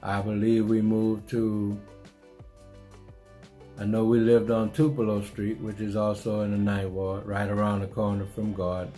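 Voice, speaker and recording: Middle-aged New Orleans man, low and even delivery, reflective vlog storytelling, faint music underneath. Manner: unhurried, musing, repeats himself with restarts. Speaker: vlogger telling his life story.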